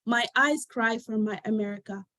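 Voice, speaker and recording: A woman whose first language is Arabic recites her own poetry in a measured, heartfelt cadence. Her voice sits a little high and sounds close and dry, as on a video call. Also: sharp tone, no echo. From Sudan. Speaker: poet guest. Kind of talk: reading her own poem aloud.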